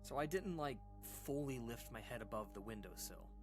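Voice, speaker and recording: An actor plays a man sharing an unsettling memory from his childhood in an audio drama. His delivery is informal and hesitant, broken by pauses, yet his pitch swings widely around a medium register. Faint music plays behind him. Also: animated, close to an everyday voice. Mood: hesitant, uneasy. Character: uneasy man recounting an eerie childhood memory to listeners. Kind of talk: audio drama monologue, conversational horror storytelling.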